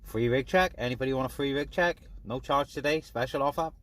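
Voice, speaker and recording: A man's voice: medium pitch, brisk. British man over radio hiss. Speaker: radio hobbyist vlogger and CB operator. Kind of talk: casual vlog chat and radio calls. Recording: noisy, clicky radio hiss.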